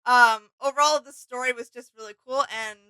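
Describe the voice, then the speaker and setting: A woman's voice: on the high side, fairly even intonation, a little fast, pushed and bright, smooth, no echo. A woman co-hosting a reaction video, giving casual, chatty commentary with emphasis on her opinions. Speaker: reaction show co-host.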